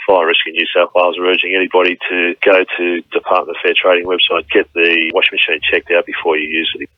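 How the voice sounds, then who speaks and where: A man's voice: low-pitched, level tone, quick pace, dry recording. A fire superintendent, a man with an Australian accent, giving an explanatory soundbite over the phone. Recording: thin muffled phone line.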